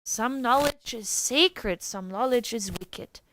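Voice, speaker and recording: A woman's voice: fairly high pitch, slow, fairly bright tone, a little hiss or hum. A woman voice actress narrating a storybook poem dramatically, with theatrical suspense.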